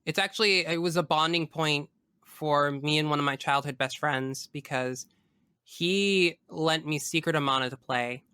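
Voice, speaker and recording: A man with a fairly high, bright voice talks as a podcast guest in a casual, enthusiastic way. His delivery is effusive and emphatic, with a smooth, clear tone and a dry sound. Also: moderate pace, dry recording. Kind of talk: casual enthusiastic chat about video games.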